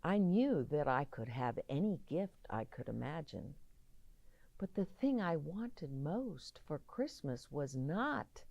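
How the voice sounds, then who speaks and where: A woman with a low voice reads a children's storybook aloud at a very slow, deliberate pace. Her delivery is expressive and lively in pitch, with dramatic pauses that set single words apart. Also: no room sound.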